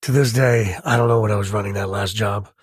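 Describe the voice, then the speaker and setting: A man playing an easygoing space-frontier ranger and devoted dad in a video game, with a husky, slightly rough voice and a folksy, wry manner. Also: lowish voice, fairly even intonation, fairly quick, dry recording, studio quality. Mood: relaxed, wry. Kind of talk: video game character dialogue.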